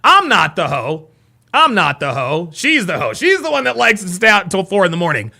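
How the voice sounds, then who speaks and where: A man giving casual live reaction commentary as a host, mockingly repeating what others say in an animated way. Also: high voice, brisk, creaky.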